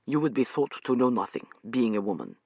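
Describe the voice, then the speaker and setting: A skeptical, exasperated man talks in quick, clipped bursts, as an audiobook reader puts him on. The voice stays close to the reader's own and is recorded dry and close. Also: mid-range voice, very animated, husky, no echo, lo-fi sound. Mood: brusque. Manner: sharp, rapid, exasperated.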